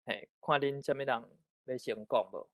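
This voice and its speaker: Taiwanese guy hosting an online forum, medium pitch, close dry sound, informal. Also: normal speed, no room sound. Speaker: moderator.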